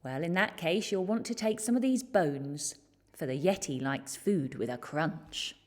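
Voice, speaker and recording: A woman's voice: deep voice, animated, measured pace, darkish tone. A woman voicing an indignant female mountain monster for a picture book, growling and easily affronted. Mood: bossy, advising.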